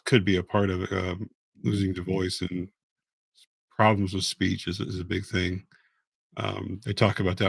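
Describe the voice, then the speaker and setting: A man going live on a casual stream, hoarse and raspy, talking in a rambling, halting way with frequent restarts. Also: lowish voice, no room sound. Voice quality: raspy, voice fading. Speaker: patient vlogger going live.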